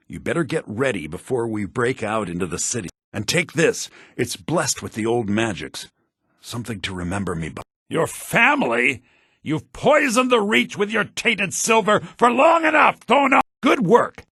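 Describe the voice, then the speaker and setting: Raspy, vengeful rebel leader. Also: male voice, medium pitch, no echo, clean studio sound, full character voice. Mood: triumphant. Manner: menacing, declamatory, swinging to shouts. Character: bitter, vengeful male rebel leader held in a prison mine. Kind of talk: fantasy video game acting.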